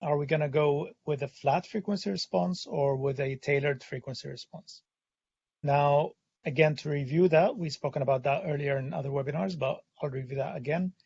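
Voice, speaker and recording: A man with a hoarse, gravelly voice, worn out from talking, walks through a technical topic in a webinar. He pauses often on "uh" and keeps a mid-pitched, steady delivery. The sound is dry and a bit dull. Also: no echo. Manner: hesitant, many "uh" fillers. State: hoarse, has lost his voice. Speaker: webinar presenter. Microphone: studio condenser microphone.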